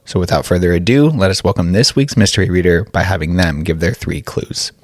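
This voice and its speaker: A man presents a podcast in an upbeat, scripted style. His voice is deep and he moves along quickly, recorded close and dry. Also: expressive, a little mellow, no echo. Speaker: podcast host.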